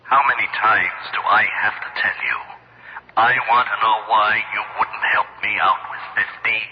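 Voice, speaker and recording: An actor playing a dead man's ghostly caller, measured, needling and insistent, in an old-time radio drama. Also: fairly low pitch, steady tone, dull audio, heavy background noise, natural voice. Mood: taunting, insistent.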